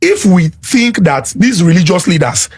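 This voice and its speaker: Nigerian man, Lagos-raised panelist, calm reasoned delivery, higher husky voice. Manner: deliberate, explanatory, repeats to hold the floor. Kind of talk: measured debate.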